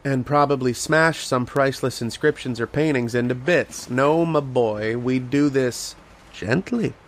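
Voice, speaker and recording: A man's voice: mid-range voice, measured pace, natural voice. A man playing a learned, patient archaeologist who explains and narrates in an old-time radio horror drama style. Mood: patient, explanatory. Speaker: lead actor and narrator.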